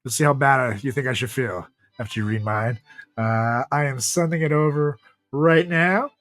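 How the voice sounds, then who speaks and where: A man's voice: medium pitch, normal speed, fairly bright tone. A man hosting a podcast in casual, joking chat, often repeating his own phrases. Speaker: podcast host.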